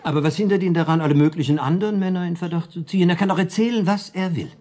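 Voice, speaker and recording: An actor playing a sly, worldly drinking companion spinning schemes in a German radio play, wry and speculating. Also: male voice, high voice, very fast, warm tone, natural voice. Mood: sly, amused.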